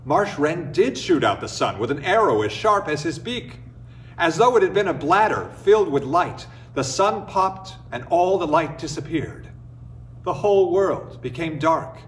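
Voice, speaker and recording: A man reading a folktale as a storyteller, with expressive, dramatic and measured phrasing. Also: on the high side, distant mic, slight background noise. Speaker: storyteller, reader. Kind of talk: expressive scripted folktale narration.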